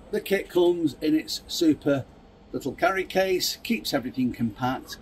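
A man in his fifties presenting and demonstrating a product kit at a trade show stand, filmed on a close phone camera. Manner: upbeat sales pitch, handling kit while explaining. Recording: trade show floor with clear background noise.

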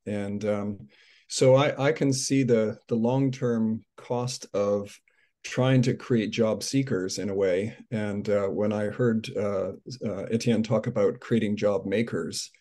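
A man introducing himself on a video call, a charity supporter who speaks hesitantly with frequent pauses and restarts. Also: on the low side, fairly even intonation, warm tone. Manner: hesitant, many fillers and restarts. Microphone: webcam on video call.

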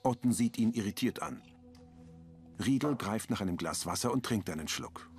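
A man reads scene descriptions in a calm, matter-of-fact way, his voice low yet lively in pitch. Music plays clearly beneath. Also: normal speed. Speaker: narrator. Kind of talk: audio description narration.

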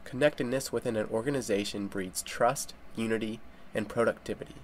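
Low-voiced male narrator with background noise. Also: a little fast. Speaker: video narrator. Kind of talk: scripted narration. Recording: noisy voice-over with background ambience.

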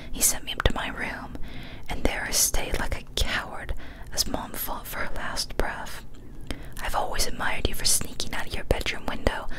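A young woman reading a scary story as whispered ASMR, soft, breathy and deliberate, close to a studio microphone. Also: low-quality recording. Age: young adult. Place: small decorated indoor room. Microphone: large studio microphone, close up.

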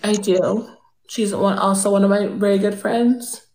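A woman's voice: medium pitch, fairly even intonation, measured pace, darkish tone, echoey room, a little hiss or hum, close to an everyday voice, rough recording. Woman playing a vain, ditzy rich girl. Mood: smug, airy. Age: young adult. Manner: airy, drawling, full of "like" and "um". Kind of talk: improvised comedic character on a livestream. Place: indoor room with pink lights. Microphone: phone front camera.

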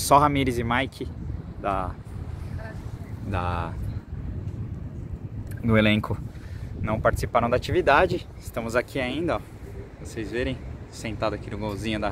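Young Brazilian man reporting outdoors amid noise. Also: medium pitch. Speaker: sports reporter for a football fan channel. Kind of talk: informal football vlog report to camera. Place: outdoors on a football training pitch. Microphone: phone at arm's length. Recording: outdoor noise with distant vehicles.

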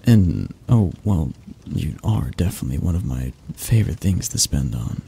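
A man playing a possessive, doting mafia boss in an ASMR roleplay, speaking in a husky, halting, intimate and condescending way. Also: very deep voice, big pitch swings, dark tone, slight background noise, full character voice. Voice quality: husky, breathy. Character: possessive young male mafia boss, doting yet controlling.

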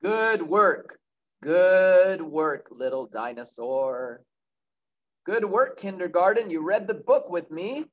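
High-pitched male kindergarten teacher reading aloud. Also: sing-song, slow pace, dull audio. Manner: chatty, asks questions and answers them. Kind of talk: interactive read-aloud for young children.

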